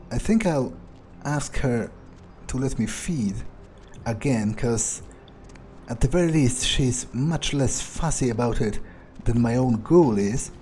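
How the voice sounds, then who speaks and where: Game playthrough role: a man acting an amused, callous vampire thinking aloud. Slow, hesitant delivery, relaxed tone. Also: medium pitch. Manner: musing, hesitant, darkly amused. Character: amused, callous male vampire musing to himself. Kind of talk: in-character game playthrough commentary.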